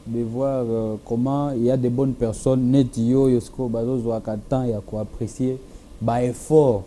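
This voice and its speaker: A young man with a Congolese French accent and Swahili as his first language answers a TV interviewer. His voice is mellow and dark at a middle pitch, his speech halting with fillers. Faint noise runs underneath. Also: flat delivery. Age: young adult. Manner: hesitant, many fillers. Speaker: musician guest. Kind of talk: television interview.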